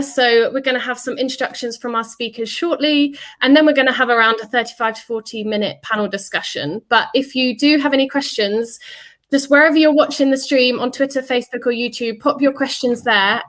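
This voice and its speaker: A woman's voice: on the high side, fairly bright tone. A woman hosting a livestreamed panel discussion, upbeat and chatty with frequent ums. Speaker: host and chief editor.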